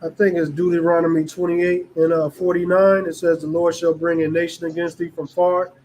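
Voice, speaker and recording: A man in a class reading scripture passages aloud in a measured, careful way. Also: a little high, flat delivery, darkish tone, a little hiss or hum, poor audio. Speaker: class member.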